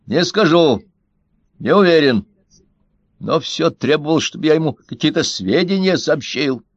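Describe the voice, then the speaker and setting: A man's voice: on the high side, expressive, a little slow, no room sound, pitched above the performer's own voice. A man voicing a good-natured, bewildered provincial man who answers hesitantly and politely, on a dull, dry recording.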